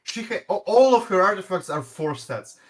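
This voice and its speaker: Halting man with a Russian accent. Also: sits high, expressive. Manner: halting, many restarts and stumbles. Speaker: guest player. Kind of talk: casual gaming chat.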